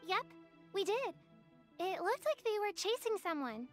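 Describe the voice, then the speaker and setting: A woman's voice: very high-pitched, sing-song, a little slow, faint music behind, full character voice. A woman playing an eager, playful little boy in an anime-style game.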